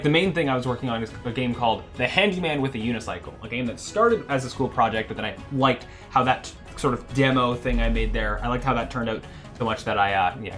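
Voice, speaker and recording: A man's voice: medium pitch, a bit bright. A young man from Canada streaming his game development, chatting casually, rambling and laughing as he loses his train of thought. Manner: rambling, chatty, laughs often, trails off and restarts. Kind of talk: rambling casual livestream chat. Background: faint music.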